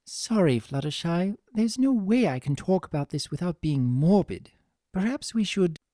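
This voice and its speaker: A reader voicing a vain, refined fashionista pony mare with a genteel, dramatic and expressive delivery in a story. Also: medium pitch, normal speed, dry recording, studio quality, voice pushed up, close to an everyday voice. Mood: troubled, morbid.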